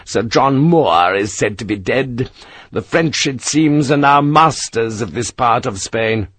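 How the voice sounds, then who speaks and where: A man voicing a tall, thin, timid gentleman who speaks wearily and sadly. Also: mid-range voice, lively intonation, takes it slowly, slightly rough, no echo, full character voice. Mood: weary. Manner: weary, sad, tentative.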